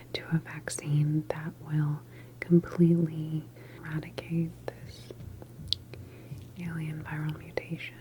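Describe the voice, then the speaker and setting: A woman playing a calm, reassuring government doctor in a sci-fi ASMR roleplay, explaining things gently in a soft whisper. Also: low voice, background noise.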